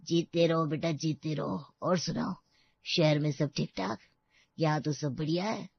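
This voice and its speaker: An emotional old village uncle from an audio story, voiced by a woman. The voice sits a little low and speaks with dramatic, doting exclamations. The recording is dry and a bit dull. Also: unhurried, vocal fry, slightly rough, no echo, full character voice. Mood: hearty, curious.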